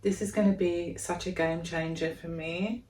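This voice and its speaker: A woman with a deep voice, chatting casually and gushing as she unboxes a gadget in a vlog at home. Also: fairly even intonation, normal speed, a little mellow, poor audio. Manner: gushing, chatty, thinking aloud. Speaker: vlogger.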